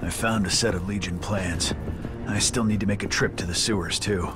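An actor playing a gruff, terse rabbit hero in a video game, curt and stoic in manner. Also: male voice, lowish voice, steady tone, moderate pace, lightly acted. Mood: businesslike. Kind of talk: video game cutscene voice acting. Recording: game audio with music behind.

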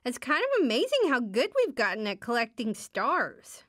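A woman's voice: on the high side, wildly swooping pitch, moderate pace, fairly bright tone, dry recording. A woman playing a shy, wry teenage superhero girl in a video game, hesitant and understated, with dry earnestness. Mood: shy, friendly. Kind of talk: video game character voice lines.

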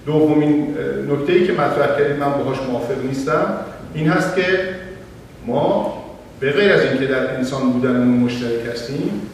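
A middle-aged man on a panel, answering an audience question in a measured, explanatory way with some hesitations, speaking into a handheld mic in a library auditorium. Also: mid-range voice. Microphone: handheld mic, heard from far camera. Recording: echoey auditorium from far off, faint hiss.

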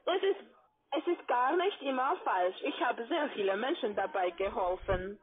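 A woman's voice: a little high, expressive, normal speed. A woman call centre agent with a foreign accent, not a native German speaker, arguing in formal address over the phone. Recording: tinny phone line, call centre chatter behind.

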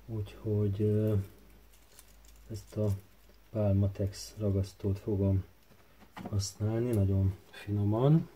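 A man with a very dark, soft-edged voice talks through a DIY job at his workbench. His delivery is flat and halting, as he thinks aloud while working with his hands. There is faint noise behind him. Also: lowish voice. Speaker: DIY tutorial host. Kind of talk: casual hands-on tutorial narration. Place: indoor workbench. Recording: faint background noise with handling clicks.

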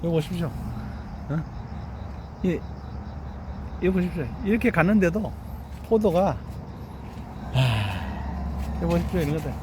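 Proud farmer man, raised pitch, outdoors. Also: expressive, a little slow, pauses often, noisy background. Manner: proud, boastful, repeats "look here" and asks "eh?". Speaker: grape farmer showing his harvest. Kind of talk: casual vlog walkthrough. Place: outdoor vineyard.

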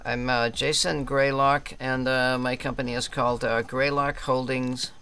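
A man's voice: medium pitch, little pitch movement, normal speed, sharp tone, a little hiss or hum. A man streaming a game, roleplaying a polite, hesitant character with a put-on French accent and many fillers. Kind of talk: in-character roleplay chat and live gameplay talk.

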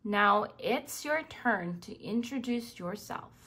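A woman reading a children's book aloud in an expressive way, speaking directly to young listeners. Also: mid-range voice, takes it slowly, husky. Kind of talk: scripted read-aloud narration for young children.

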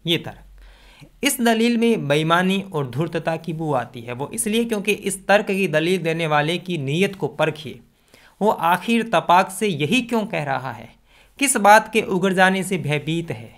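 A man's voice: high-pitched, animated, unhurried, a bit bright. A man delivers scripted, argumentative opinion commentary to camera, speaking emphatically into a close desk microphone. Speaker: video commentator. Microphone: desk condenser microphone, close.

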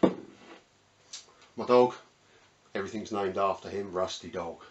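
Middle-aged British man, lowish voice, easygoing workshop host, recorded away from the mic in a small room. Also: slow pace, muffled recording. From United Kingdom. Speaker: host of a woodworking channel. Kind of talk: casual workshop tour, unscripted. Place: small cluttered indoor home workshop. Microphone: camera mic a few metres away. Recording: roomy, distant mic, faint background noise.

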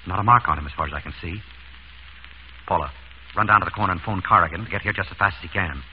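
An actor playing an urgent, commanding amateur detective in a 1930s radio drama. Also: male voice, low voice, quick pace, natural voice. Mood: urgent, bossy. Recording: dull, faintly noisy 1930s radio broadcast.

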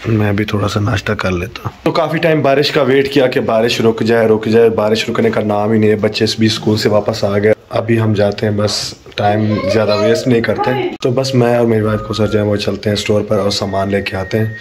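A man's voice: on the low side, steady tone, brisk, few pauses, a crowd in the background. Chatty man narrating a phone vlog. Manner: chatty, narrates every step, urges others to hurry. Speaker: family vlogger. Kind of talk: casual running vlog commentary. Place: in a car, at home and in a supermarket. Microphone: phone camera held at arm's length. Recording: noisy handheld phone audio.

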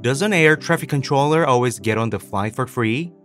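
A man's voice: mid-range voice, expressive, fairly quick, a bit bright. A man reading out scripted questions for an explainer video. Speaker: question reader. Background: background music.

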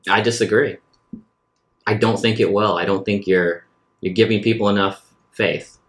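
A man's voice: lowish voice, steady tone, moderate pace. A man playing a tabletop roleplaying game, talking casually in character.

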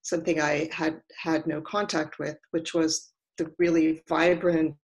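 An older woman giving reflective, prepared remarks as a panelist in a measured, deliberate manner. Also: sits low, flat delivery, muffled recording. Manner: measured, deliberate, long considered sentences. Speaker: panelist, scholar and writer.

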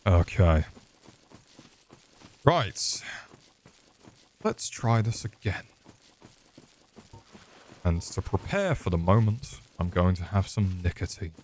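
A man's voice: deep voice, animated, takes it slowly, pauses often, a little mellow. A young man streaming a game, swearing and joking sarcastically as he plays. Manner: sweary, sarcastic, crude jokes. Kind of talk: swearing live gameplay commentary.

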